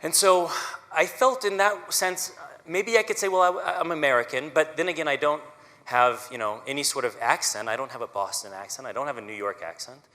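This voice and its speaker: A man's voice: fairly high pitch, fairly quick, bright, forceful tone. A man who conducts a symphony, giving an earnest, conversational public talk on stage, often checking in with his audience. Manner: earnest, conversational, frequent "right?" asides. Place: auditorium stage. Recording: long hall reverb.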